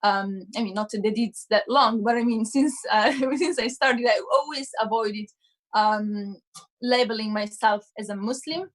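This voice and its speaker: A woman's voice: on the high side, measured pace. A young woman activist, originally from Italy, speaking candidly in a panel discussion with many fillers. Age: young adult. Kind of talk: panel discussion on a live stream.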